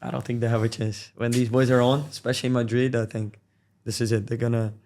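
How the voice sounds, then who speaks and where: A man's voice: medium pitch, flat delivery, fast talker, darkish tone, a little hiss or hum. A man whose first language is Portuguese, a panel guest, confident and upbeat.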